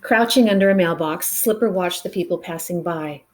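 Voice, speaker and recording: A woman reading a picture book aloud to children in an expressive way, with dramatic pauses and chatty asides to the listeners. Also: on the low side. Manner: animated, dramatic pauses, engages young listeners with questions.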